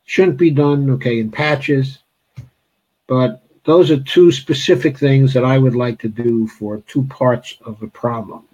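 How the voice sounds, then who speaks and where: A man's voice: medium pitch, unhurried, mellow, husky, low-quality recording. A man hosting a political interview, hesitant with many fillers but blunt and emphatic in his views. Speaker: interviewer, host.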